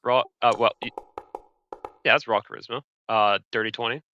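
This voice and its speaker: A man's voice: mid-range voice, fairly even intonation, normal speed, pushed and bright, a little hiss or hum. A man playing a tabletop game, chatting casually about what his character does, on a slightly dull, dry recording. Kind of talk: casual game chat, speaking for his character in third person.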